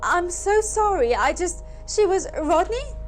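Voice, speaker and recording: A woman plays a frightened man in a horror tale, pitched high and desperate. He pleads and calls out with raw urgency over a music bed. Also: sing-song, a little slow, full character voice. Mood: desperate, pleading.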